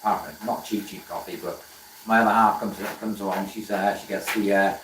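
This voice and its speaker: A man's voice: fairly low pitch, steady tone, normal speed, distant mic, background noise. A man from South Wales chats easily with his viewers as he works, greeting them by name and often trailing off into fillers. His voice comes from a little way off the mic and has a roomy workshop echo around it. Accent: South Welsh. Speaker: woodturning livestream host. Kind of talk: casual live chat while working. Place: workshop with a running lathe.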